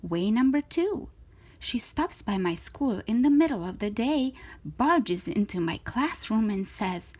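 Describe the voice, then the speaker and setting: A woman reading a children's story aloud in an expressive, theatrical way, with emphatic stretched words. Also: a little high, unhurried. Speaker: storytime reader of children's books.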